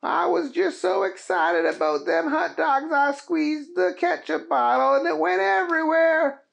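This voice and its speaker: A storyteller voicing Keith, an excitable folksy cowboy man who talks in a very high, slow drawl. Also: gravelly, exaggerated voice. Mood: excited. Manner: drawn-out hollering, very high.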